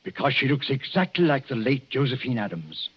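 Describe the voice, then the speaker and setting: An actor playing a grave, hesitant English doctor who gives ominous warnings in the formal, theatrical manner of 1940s radio drama. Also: male voice, mid-range voice, sing-song, fairly quick, slightly rough. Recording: dull 1940s radio recording.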